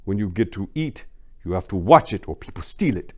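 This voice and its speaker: A man's voice: sits low, expressive. An earnest young immigrant man played by a male narrator. He speaks plainly in simple, careful English, a character in a crime audiobook. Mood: earnest, somber. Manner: simple, formal, halting English.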